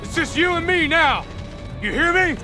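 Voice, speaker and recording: Game soldier: desperate, determined, forceful, high and lively delivery under loud cinematic music. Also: male voice, normal speed. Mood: desperate shouting. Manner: urgent, forceful, swearing. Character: male soldier, desperate, determined, pleading with a friend. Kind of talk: dramatic video game cutscene acting.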